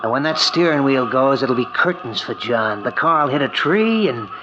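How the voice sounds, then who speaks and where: A man's voice: medium pitch, lively intonation, moderate pace, close to an everyday voice. An actor playing a smug, scheming, murderous man with cocky, slangy tough-guy talk in an old-time radio thriller. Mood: smug, menacing. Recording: muffled, noisy old radio recording.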